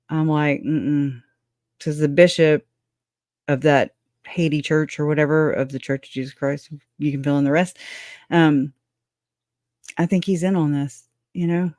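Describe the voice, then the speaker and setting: A woman's voice: deep voice, a little mellow, no echo, studio quality. Blunt, laughing woman on a live stream. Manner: blunt, swears freely, laughs often, acts out reactions. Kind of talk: casual opinionated chat.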